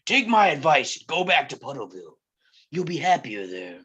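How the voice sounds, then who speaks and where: A man's voice: sing-song, bright, forceful tone, slightly rough, dull audio, heavily acted. A man reading the part of a brash, wisecracking middle-aged circus owner with a blunt, joking manner. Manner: joking, blunt, fast-shifting pitch. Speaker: table read actor. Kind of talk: live table read acting.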